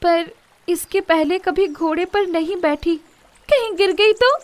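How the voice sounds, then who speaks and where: A very high-voiced young fairy maiden played by a woman from an animated storybook tale. She speaks politely and earnestly, with formal wording and dramatic, expressive delivery. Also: a little slow.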